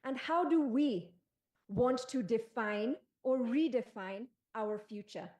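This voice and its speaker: A woman's voice: on the high side, darkish tone. Kenyan woman giving an emphatic panel speech. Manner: measured, emphatic delivery. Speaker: panelist and special advisor.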